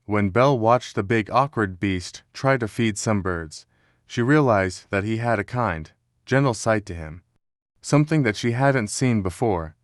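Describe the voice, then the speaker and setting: A man narrating a scripted children's story in a measured, dramatic storytelling manner. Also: lowish voice, lively intonation, dry recording, studio quality.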